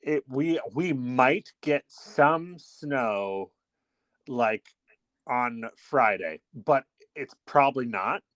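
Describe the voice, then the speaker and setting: A man's voice: medium pitch, animated, extremely slow, fairly bright tone, dry recording. A man co-hosting a casual podcast by phone, wry and mock-dramatic, with some casual swearing. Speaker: podcast co-host. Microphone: phone call.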